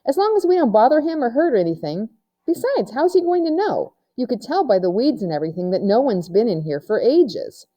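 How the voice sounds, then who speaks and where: A woman's voice: a little high, very animated, fairly quick, warm tone, muffled recording, pitched above the performer's own voice. A woman reading a storybook aloud as an imaginative, bold young girl, eager and theatrical. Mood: confident, dismissive. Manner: theatrical when chanting. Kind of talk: children's storybook read-aloud.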